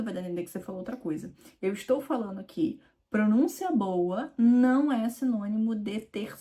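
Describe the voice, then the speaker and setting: A young woman from Rio with a Carioca accent talks straight to the viewer as an exam mentor. She speaks informally and emphatically, and she repeats her key points. Her voice sits at an ordinary middle pitch. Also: dark tone. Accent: Carioca Brazilian Portuguese. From Rio de Janeiro, Brazil. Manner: conversational, direct, addresses viewer informally with "tu"; emphatic and repetitive. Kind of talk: direct-to-camera teaching video.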